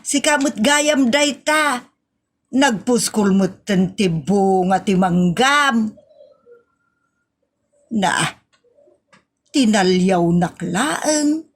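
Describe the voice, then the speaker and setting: A woman's voice: on the high side, extremely slow, long pauses. A woman with an Ilocano accent playing a nagging, outspoken village woman in a comedy audio drama, drawn out and exclamatory.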